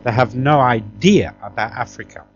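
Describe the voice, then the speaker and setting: A man's voice: medium pitch, moderate pace, muffled recording, soft background music. A man from Tanzania, middle-aged, talking conversationally as an interview guest, emphatic and often checking for agreement as he reminisces.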